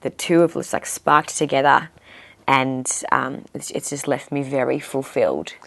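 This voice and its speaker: A young woman in her twenties, a guest musician with a low voice, chatting casually and filling pauses with "um". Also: fairly even intonation, gravelly, fairly bright tone. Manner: chatty, fills pauses with "um", laughs easily. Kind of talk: casual interview chat.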